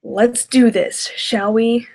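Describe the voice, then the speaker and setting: A woman's voice: a little high, little pitch movement, slow pace, a little mellow. A woman hosting a reaction video with casual, sarcastic, foul-mouthed commentary.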